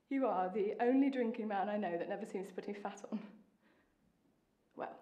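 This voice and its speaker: A woman with a British accent acting a lonely, bitter, longing young wife in a stage monologue, intense and deliberate, with dramatic pauses. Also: mid-range voice, mellow, natural voice. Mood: wry. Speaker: acting student. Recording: echoey room.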